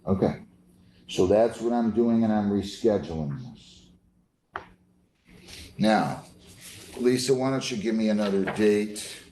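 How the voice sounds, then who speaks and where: A man's voice: on the low side, a little slow, pauses often, dark tone. An American man speaking as a judge from the bench, firm and plain-spoken, repeating points for emphasis in an informal courtroom style. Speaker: judge presiding over a court hearing. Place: indoor courtroom. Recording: roomy courtroom echo with faint noise.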